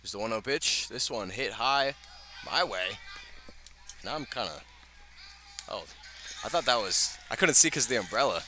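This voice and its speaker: A man's voice: medium pitch, expressive, normal speed, pauses often, bright, forceful tone. A man calling baseball play-by-play live on radio in a conversational way, with casual asides. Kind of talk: live radio sports commentary. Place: outdoor ballpark. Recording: clear ambient outdoor noise.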